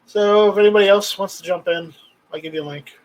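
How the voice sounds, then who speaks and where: Male livestream host, slightly high-pitched voice, unscripted and rambling, halting delivery with repeated words. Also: low-quality recording. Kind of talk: casual unscripted chat about gear.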